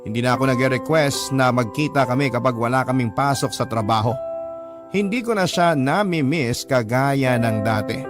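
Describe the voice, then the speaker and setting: A man's voice: medium pitch, moderate pace. A man narrating a first-person story as a radio storyteller reading a letter, in a dramatic scripted style. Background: music bed.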